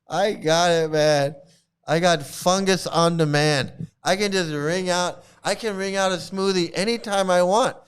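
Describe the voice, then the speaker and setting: Reaction host, male, somewhat high and smooth voice, laughs a lot and circles back on his own words. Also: takes it slowly. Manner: laughs often, repeats phrases, stammering restarts. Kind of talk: casual reaction commentary.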